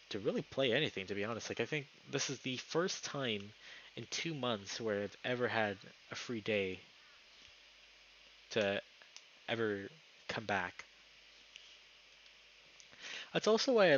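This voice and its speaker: A man's voice: mid-range voice, fairly bright tone, muffled recording, a little hiss or hum. A young man live streaming, moving between casual chat and reading story narration aloud.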